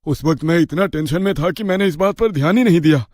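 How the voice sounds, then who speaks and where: A man plays an earnest village father in an animated story. His voice sits a little high and is expressive, recorded dry and close. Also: fast, dry recording, natural voice. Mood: worried. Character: worried ordinary village father.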